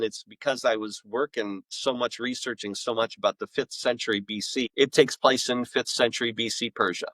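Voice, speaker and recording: Guy being interviewed, kinda rambling and restarting his thoughts, mid-pitched, dry close sound. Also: a bit bright, no echo, rough recording. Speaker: interview guest, author and audio drama maker. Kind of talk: casual conversational interview.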